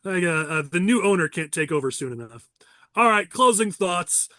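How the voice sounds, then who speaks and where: A man's voice: high voice, expressive, normal speed, pushed and bright, dry recording, clean studio sound. A man in his thirties hosting a casual political podcast, nasal and teasing, rambling with lots of fillers. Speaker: podcast host.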